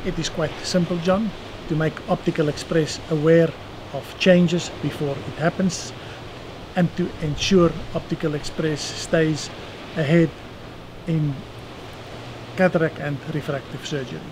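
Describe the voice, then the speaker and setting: Man, 60s, South African accent, fairly high voice, noisy outdoor terrace interview. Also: steady tone. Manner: measured, dry self-deprecating humor. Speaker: eye surgeon guest. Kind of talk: seated interview answers. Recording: outdoor noise behind voice.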